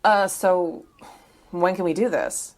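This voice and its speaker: A woman's voice: lowish voice, slow. A woman playing a practical, skeptical academic in an audio drama, dry and questioning in manner. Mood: agreeable.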